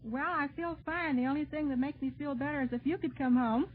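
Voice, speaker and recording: A woman's voice: high voice, a little fast, narrow, tinny audio, a little hiss or hum. An American woman, a serviceman's wife, chatting casually and affectionately over a long-distance 1940s radio link.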